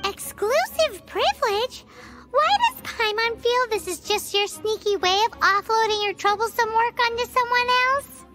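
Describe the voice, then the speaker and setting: A woman voicing a tiny childlike fairy companion in a video game, chirpy, cheeky and quick to exclaim. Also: very high-pitched, animated. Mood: suspicious. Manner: childlike, third-person self reference, exclaiming. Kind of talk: video game character acting. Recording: clear music behind the voice.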